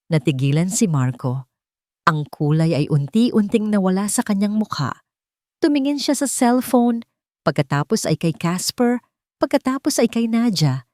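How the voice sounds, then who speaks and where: A woman's voice: on the low side, a little mellow, no room sound, clean studio sound. A woman narrator reading a scripted dramatic romance story.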